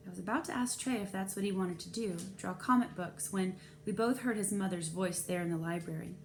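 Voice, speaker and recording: A woman's voice: medium pitch, a little fast. Woman reading a children's novel, noisy recording. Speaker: storybook reader. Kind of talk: expressive read-aloud narration of a children's novel. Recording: clear background noise.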